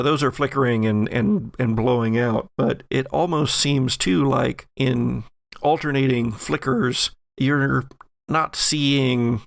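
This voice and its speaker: A man narrating an improvised horror game as game master, deliberate and halting as he searches for words. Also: mid-range voice, gravelly, no room sound.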